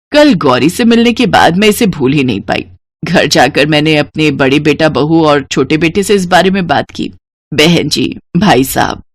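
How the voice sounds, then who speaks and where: A woman narrator acts out a well-off older lady in a moral story. Her voice sits low, kindly and composed. She speaks with gentle courtesy in a dry, close recording. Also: no echo.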